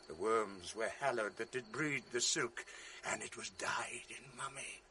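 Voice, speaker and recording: An actor playing a jealous noble general in a radio drama, grave and commanding with a resonant stage delivery. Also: male voice, lowish voice, measured pace, noisy background, natural voice. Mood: solemn. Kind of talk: 1980s radio drama acting.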